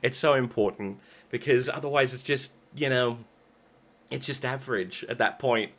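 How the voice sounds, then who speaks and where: A man hosting a casual interview, eager and agreeable, talking in quick bursts. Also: mid-range voice, fairly bright tone. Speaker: host.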